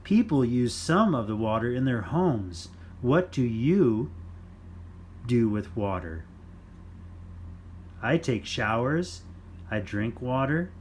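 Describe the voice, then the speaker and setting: A man with a mid-range voice reads a children's fact book aloud. He takes it slowly, in simple, deliberate phrases, with some background noise. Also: medium pitch, expressive, a little mellow. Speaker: children's storytime reader.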